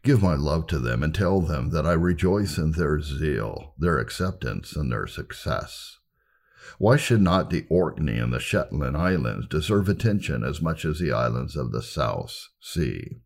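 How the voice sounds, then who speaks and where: Male narrator for religious history audiobook: extremely low, creaky voice, formal delivery, lively intonation. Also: unhurried. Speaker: narrator reading church history.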